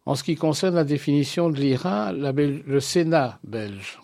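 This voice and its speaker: A man answering interview questions as a guest, speaking in a formal, deliberate and articulate way. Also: fairly high pitch, gravelly, darkish tone, no echo, studio quality. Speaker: interview guest, association president.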